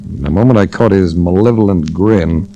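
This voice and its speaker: A man's voice: deep voice, moderate pace, dark tone, highs cut off, a little hiss or hum, natural voice. An actor playing a dry, sardonic male insurance investigator who narrates a 1940s radio drama. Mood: somber. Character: wry hard-boiled male insurance investigator. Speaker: detective narrator. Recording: restored old radio broadcast.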